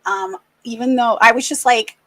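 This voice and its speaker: A woman's voice: on the high side, fairly bright tone, no echo. Earnest woman sharing a painful story. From Racine, Wisconsin, USA. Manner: earnest, reflective, halting. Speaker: podcast host. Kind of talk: candid personal conversation.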